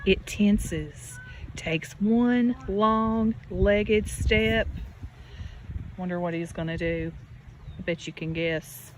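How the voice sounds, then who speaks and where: A woman teacher reading a picture book aloud to children, deliberate and expressive, with questions and asides to her listeners. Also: mid-range voice. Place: outdoors beside a natural pond. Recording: steady outdoor background noise. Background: birds and outdoor ambience.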